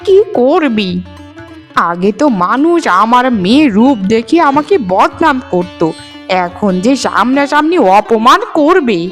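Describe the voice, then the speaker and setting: A woman acts out an elderly village mother in a cartoon tale. The delivery is very animated and emotional, in a raised pitch with drawn-out phrases. Music sits behind it. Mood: lamenting. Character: elderly village mother and mother-in-law, sentimental, effusive. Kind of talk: Bengali cartoon voice acting.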